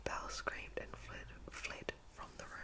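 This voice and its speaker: A woman reading a storybook as ASMR in a breathy, unvoiced whisper very close to the microphone, now and then stumbling and repeating words. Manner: halting read with stumbles and repeats.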